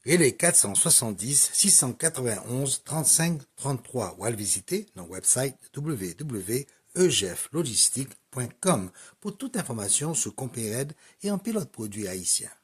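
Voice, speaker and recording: A man announcing the contact details at the end of a radio ad, reading them off quickly. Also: medium pitch, very animated, slightly rough. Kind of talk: radio ad tag.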